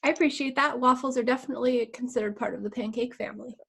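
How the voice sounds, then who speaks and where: A woman's voice: fairly high pitch, fairly even intonation, quick pace, creaky, fairly bright tone, husky. A woman hosting a conversational interview, polite and affirming as she asks questions. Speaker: interview host.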